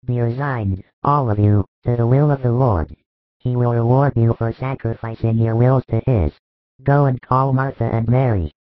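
A male narrator voicing a gentle, authoritative teacher, calm, measured and solemn. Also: medium pitch, no room sound, soft background music, natural voice. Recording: dry, tinny phone-like sound.

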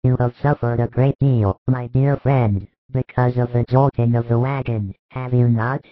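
A man voicing a gentle religious teacher who consoles a dying friend tenderly. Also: medium pitch, steady tone, normal speed, no room sound, narrow, tinny audio, natural voice. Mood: tender reassuring. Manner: tender, reassuring.

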